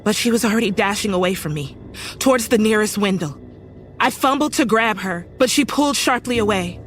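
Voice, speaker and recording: Horror narrator actress: uneasy young woman, serious suspenseful delivery, mid-pitched, soft music behind. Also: lively intonation, fairly quick, lightly acted. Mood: horrified. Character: uneasy young woman recounting a horrifying night. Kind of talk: dramatic scripted first-person horror narration. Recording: music faintly under the voice.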